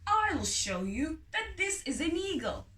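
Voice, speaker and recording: A woman's voice: very high voice, very animated, measured pace, sharp tone, voice pushed up, heavily acted. A woman voicing a determined man in a storybook, challenging and emphatic as he declares his point. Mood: challenging, declaring. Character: determined male friend of a farmer, certain and challenging. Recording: roomy echo, distant mic, faint noise.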